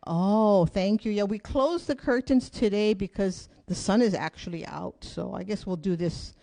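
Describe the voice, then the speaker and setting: A woman's voice: mid-range voice, normal speed, gravelly, warm tone. A woman teaching an online hula class, upbeat and chatty as she calls out steps, counts and questions to viewers from a room indoors. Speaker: hula instructor. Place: indoor room with curtains closed.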